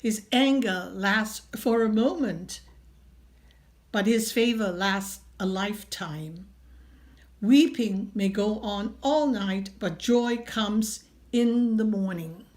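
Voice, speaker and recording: Slow earnest woman reading scripture. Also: mid-range voice, darkish tone.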